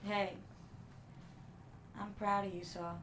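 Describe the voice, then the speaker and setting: A woman's voice: mid-range voice, little pitch movement, slow, noisy background, natural voice. A woman acting a loving, tough country mother in a live screenplay table read. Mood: proud, wistful.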